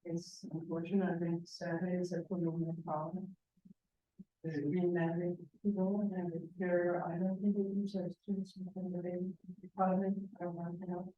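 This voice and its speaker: A woman's voice: lowish voice, flat delivery, normal speed. A woman from Denmark, an anthropologist introducing herself in a matter-of-fact way. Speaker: anthropologist, university administrator. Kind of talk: self-introduction. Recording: dull lo-fi sound.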